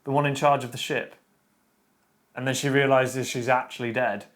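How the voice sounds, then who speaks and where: A man with a medium-pitched voice co-hosts a casual discussion about books. He speaks bluntly and offers firm opinions in loose, conversational phrasing. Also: steady tone, moderate pace. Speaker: book discussion show co-host.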